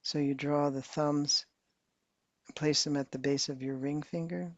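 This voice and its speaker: Woman meditation teacher, super slow gentle talk, dry muffled recording. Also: low-pitched, dry recording. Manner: slow, soft and calm, with long pauses. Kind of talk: guided meditation.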